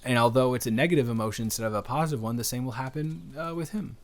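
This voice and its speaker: A man acts out a wholesome, flirty male stranger in an intimate audio roleplay. He speaks at a medium pitch, straight to the listener. His delivery is rambling and hesitant, earnest and reassuring, with a gently teasing streak. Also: fast talker, close to an everyday voice.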